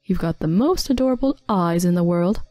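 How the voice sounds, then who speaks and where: A woman's voice: on the low side, expressive, a little fast, warm tone, no echo, lightly acted. A woman voicing a selfish, arrogant, whiny husband with an irritated, demanding manner in a dramatized domestic story. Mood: doting. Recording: background music bed.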